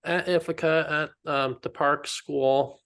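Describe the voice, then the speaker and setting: A man's voice: fairly high pitch, steady tone, slow pace, a bit bright, clear, resonant tone. A man hosting a podcast, asking questions casually and reacting with emphatic enthusiasm. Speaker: podcast host. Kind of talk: casual interview.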